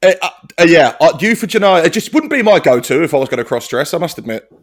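A man's voice: fairly high pitch, sing-song, fast talker. A man in casual comedy chat, sweary and rambling, laughing often and restarting his sentences.

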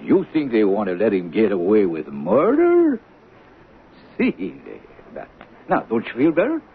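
An actor playing a soothing, streetwise working-class husband, cajoling and stammering, in an old-time radio drama. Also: male voice, lowish voice, huge pitch swings, measured pace, slightly rough, heavily acted. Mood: earnest, cajoling. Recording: phone-like, noisy old radio recording.

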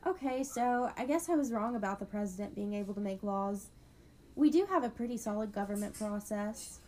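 A woman's voice: mid-range voice, animated, fairly quick, mellow. A woman co-hosting an educational podcast, playing the curious learner in a scripted dialogue. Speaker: podcast co-host.

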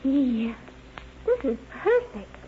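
An actress playing a sweet, timid young sweetheart in old radio drama, easily frightened. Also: female voice, sits high, big pitch swings, slow pace, full character voice. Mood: sweet, shy. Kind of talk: 1930s radio drama acting. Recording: muffled, noisy old radio recording.

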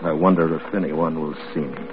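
A man's voice: very deep voice, fairly even intonation, a little fast, loud music behind the voice. An actor playing a remorseful, earnest spaceman facing death in a 1950s radio drama. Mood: wistful resignation. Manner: brooding, confessional, earnest. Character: remorseful doomed spaceman drifting toward death. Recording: muffled 1950s radio recording.

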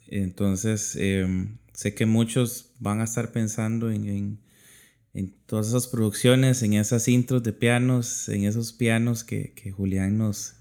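A man hosting an interview, introducing his guest in a casual, halting way with repeated words. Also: fairly low pitch, a little mellow. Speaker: interviewer, host.

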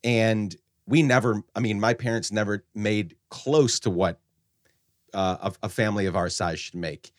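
A man from Guatemala hosts the conversation in a low voice, reflecting earnestly and often restarting his sentences. The recording is dry, with no room sound around him. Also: steady tone, no room sound. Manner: earnest, halting, many restarts. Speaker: podcast host. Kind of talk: reflective interview talk.

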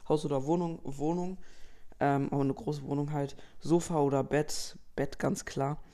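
A man's voice: fairly high pitch, steady tone, moderate pace, mellow. A young teenage boy from Berlin hosting his own podcast, casually reading out and answering listener questions with lots of fillers and slang. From Berlin, Germany. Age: young teen boy, entering eighth grade. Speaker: podcast host.

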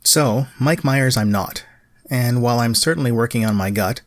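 A middle-aged man, narrating a solo podcast in a measured, lightly self-deprecating way. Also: medium pitch, dry recording, faint music behind. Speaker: podcast host, writer.

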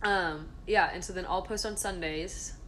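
A woman's voice: lowish voice, moderate pace, fairly bright tone, poor audio. Giggly young woman podcasting with background noise. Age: 20s. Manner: giggly, jokey, self-mocking. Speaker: podcast co-host. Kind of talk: casual banter between friends.

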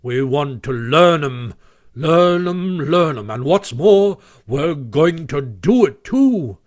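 A man voicing a gruff, blunt older badger in a storybook, speaking emphatically and insistently with rustic grammar. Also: a little high, normal speed, close to an everyday voice. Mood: peevish, insistent.

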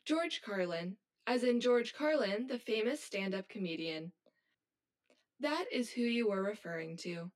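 A woman's voice: mid-range voice, expressive, unhurried, close to an everyday voice. Woman voicing female robot, stilted literal phrasing, formal, hollow, guarded, audio drama. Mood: matter-of-fact.

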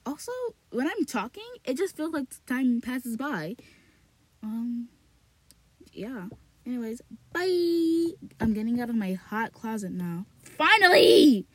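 Woman podcaster in a small closet, reads slowly, then chats loosely, repeating words and filling pauses. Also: a little high, big pitch swings, husky, rough recording. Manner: rambling, repeats words, frequent fillers and restarts. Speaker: podcast host reading a novel aloud. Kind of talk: slow read-aloud, then rambling casual chat.

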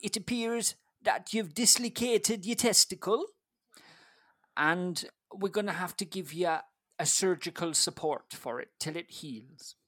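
A man voicing a brisk, loud middle-aged West Indian nurse, putting on a West Indian accent. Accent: West Indian (put on). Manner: booming matter-of-fact orders.

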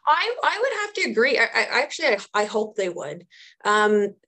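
A woman's voice: fairly high pitch, sing-song, normal speed, pushed and bright. A woman, thirties or older, answering as an interview guest and therapist, speaking earnestly and reflectively and repeating phrases for emphasis. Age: 30s or older. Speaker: trauma therapist and social worker, interview guest.

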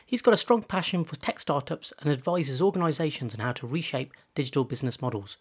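A man's voice: on the high side, expressive, fast talker, breathy edge. A man hosting a podcast interview, talking in a chatty way and often restarting his phrases. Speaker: podcast host.

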